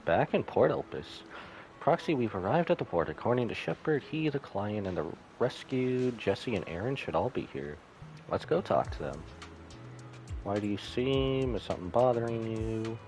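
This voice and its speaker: A male game streamer with a fairly low voice and a lively, swinging intonation. He reads story text aloud, tripping on words and correcting himself, with faint music underneath. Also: moderate pace. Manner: stumbles and self-corrects while reading. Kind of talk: reading game text aloud and live commentary.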